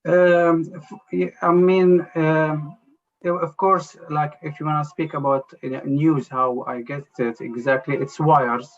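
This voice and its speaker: A man answers interview questions in a gravelly, creaky voice. He talks haltingly, restarting often and leaning on "you know". The sound is dull and lo-fi. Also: a little high, flat delivery. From Jerusalem. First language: Arabic. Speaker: journalist guest.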